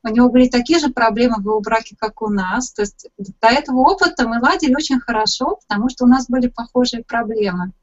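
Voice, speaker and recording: A woman hosts a webinar and interprets each passage in turn, keeping a measured, even delivery. Her pitch sits in the middle range. The call audio is slightly dull and muffled. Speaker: host and interpreter. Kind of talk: consecutive interpreting into Russian.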